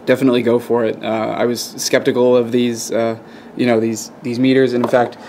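Male hobbyist host, lowish pitch, monotone, casual and rambling explainer, faint room noise behind. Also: a little fast. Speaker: video host, radio hobbyist. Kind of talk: casual unscripted demonstration, explaining. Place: indoors at a wooden workbench.

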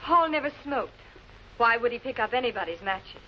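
A fairly high female voice from an actress plays a catty suspect, polished, cutting and defensive. It is 1940s crime drama acting on a dull, noisy old film track. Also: lively intonation, normal speed, close to an everyday voice. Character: sharp-tongued, accusing woman suspect, cool and catty.

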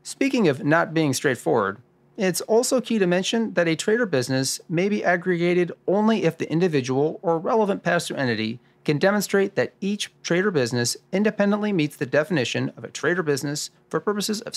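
A man's voice: fairly high pitch, expressive, a little fast, gravelly. A man playing an assured, orderly expert sleuth in a scripted radio drama, explaining in a didactic way. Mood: assured, instructive. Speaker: lead expert guiding the discussion.

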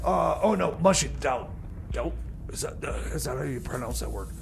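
A man's voice: medium pitch, lively intonation, moderate pace, creaky, slight background noise. A man playing a bumbling, nervous substitute DJ in comedy radio theater, stammering and repeating his words indignantly. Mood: flustered.

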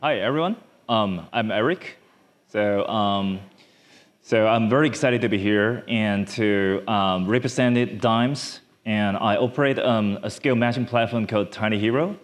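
A man from Taiwan, giving a conference talk from a large stage on a lapel mic, halting with many fillers and restarts. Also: on the low side. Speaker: startup founder speaker.

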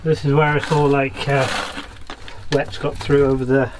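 A man's voice: mid-range voice, flat delivery, moderate pace. A man explaining things casually to a visitor, like a miner showing someone round. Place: small underground coal mine tunnel. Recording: noisy on-location camera audio.

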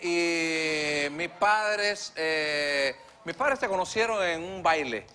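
An actor playing a naive, boastful man who keeps mangling words, speaking deadpan. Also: on the high side, takes it slowly, a little hiss or hum, full character voice. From Cuba. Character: naive boastful Cuban man, malapropism-prone musician. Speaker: comedy character guest. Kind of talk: sketch comedy interview. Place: TV studio set with live audience. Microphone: lapel mic.

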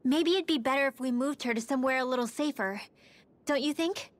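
A woman's voice: sits high, fairly quick, no room sound, natural voice. An actress playing an eager, anxious, helpful teenage girl mage in a dramatic video game. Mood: earnest.

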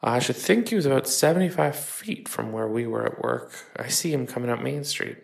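A man's voice: medium pitch, fairly quick, vocal fry. A man playing a plain-spoken working man on the witness stand in a period trial drama, answering carefully and deferentially. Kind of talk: dramatic reading of a trial transcript.

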